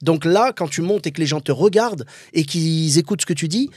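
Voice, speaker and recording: A man's voice: fairly high pitch, expressive, fairly quick, a little mellow, breathy edge, no echo. A man in his forties chatting as a guest, explaining things animatedly with many restarts. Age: 40s. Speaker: guest comedian. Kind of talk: casual chat.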